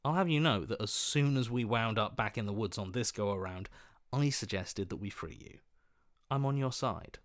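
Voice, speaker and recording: An actor playing a scheming, ingratiating opportunist inner voice in a video game, wheedling and put-upon in manner. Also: male voice, on the low side, moderate pace, natural voice.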